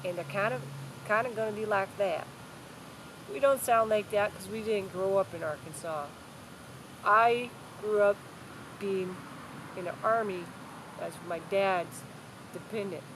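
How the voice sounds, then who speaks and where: A woman's voice: medium pitch, measured pace, pauses often, slight background noise, low-quality recording. An older woman, a grandmother, casually telling family history to a child in short, simple, repetitive phrases. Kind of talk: casual storytelling addressed to a child.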